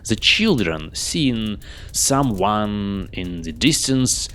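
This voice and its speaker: A man reading a fairy tale aloud as a storyteller, expressively and with measured, theatrical delivery. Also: fairly low pitch, animated, fairly bright tone. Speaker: storyteller, reader. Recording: noisy home recording.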